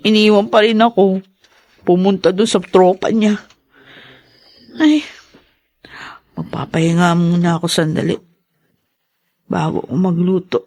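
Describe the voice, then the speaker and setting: A woman's voice: fairly low pitch, steady tone, a little slow, pauses often, a little mellow, no echo. A woman playing a meek, weary young pregnant wife in a Tagalog radio drama, with a plaintive manner. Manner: apologetic, plaintive.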